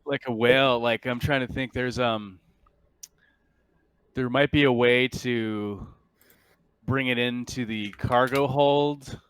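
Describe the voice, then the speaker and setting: Man, game master, mid-pitched voice, casual tabletop talk, hesitant, thinking out loud. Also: fairly even intonation, slow, pauses often, clean tone, dry recording.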